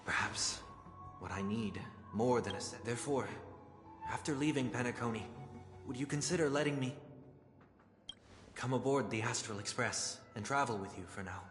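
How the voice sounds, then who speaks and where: An actor playing a refined, earnest fallen idealist in a video game, speaking formally and eloquently. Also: male voice, mid-range voice, normal speed, pauses often, reverberant, in a large hall, music in the background, lightly acted. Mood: earnest, reflective. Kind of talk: dramatic video game dialogue.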